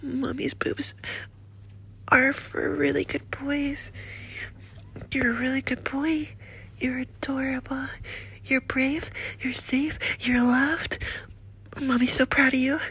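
A woman's voice: sits high, little pitch movement, full character voice. A woman as a whispering, doting nurse. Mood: whispery, doting. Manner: soft breathy whisper, slow and coaxing. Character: doting, flirtatious female nurse, motherly and teasing. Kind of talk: whispered ASMR roleplay.

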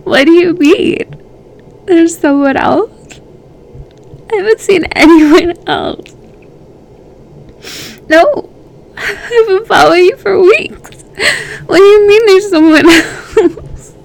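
A woman playing an obsessive, possessive young female lover in an audio roleplay, slow and gravelly, swinging between hushed and loud. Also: fairly high pitch, full character voice. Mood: angry, pleading.

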